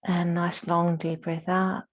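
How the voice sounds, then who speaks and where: A woman yoga instructor leading a guided relaxation practice in a soothing, unhurried manner, with gentle pauses between short cues. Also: fairly low pitch, little pitch movement, highs cut off.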